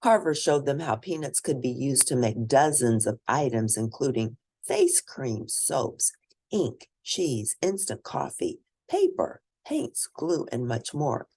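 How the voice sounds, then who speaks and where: A woman reading a children's picture book aloud as host, in expressive, deliberate storytelling. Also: extremely low pitch, breathy edge.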